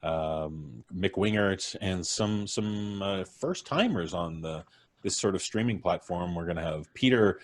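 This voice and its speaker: A man's voice: low-pitched, measured pace. A man chatting genially and casually as the host of a live stream. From Canada. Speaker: podcast host.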